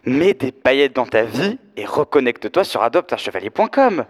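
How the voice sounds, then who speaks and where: A young man playing a flamboyant, fashion-obsessed DJ friend, gossiping in trendy slang with theatrical, drawn-out exclamations in comic audio fiction. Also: mid-range voice, sing-song. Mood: encouraging. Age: teenager or young adult. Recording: echoey hall, live stage performance.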